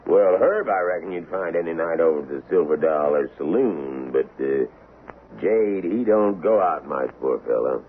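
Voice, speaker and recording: Folksy, extremely deep-voiced western sheriff. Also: male voice, expressive, normal speed. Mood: folksy, chatty. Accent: American. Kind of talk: 1970s radio drama acting. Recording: lo-fi vintage radio, narrow band, faint noise.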